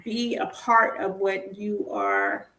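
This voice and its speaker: A woman in her forties co-hosting a podcast, chatting casually in an animated, informal, slangy way. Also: medium pitch, slow pace, vocal fry, rough recording. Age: 40s. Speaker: podcast co-host. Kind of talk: casual chat.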